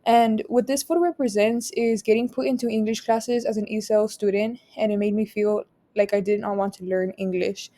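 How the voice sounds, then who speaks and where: A young woman from Texas whose first language is Spanish presents a photo project, reading each caption aloud and then explaining it in a measured way. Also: mid-range voice, fairly even intonation, dark tone. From Rio Grande Valley, Texas. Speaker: student presenter.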